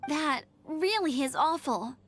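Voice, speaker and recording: A woman voicing a calm, decisive young female adventurer in a game. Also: high-pitched, slow pace. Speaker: game protagonist. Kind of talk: game voice acting. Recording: game audio with soft music.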